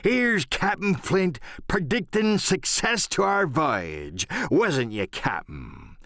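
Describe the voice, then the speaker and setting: A man's voice: high-pitched, big pitch swings, very slow, a bit bright, no echo, clean studio sound, pitched above the performer's own voice, exaggerated voice. A man playing a sly, folksy old pirate sea cook who drawls in rustic sailor dialect for an audiobook radio play. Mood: fond storytelling.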